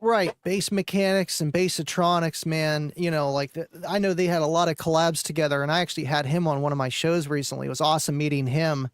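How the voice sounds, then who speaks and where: A man co-hosting a live stream, chatting casually about car audio in a relaxed, rambling way with many fillers. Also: on the high side, brisk, few pauses, clean tone, no room sound.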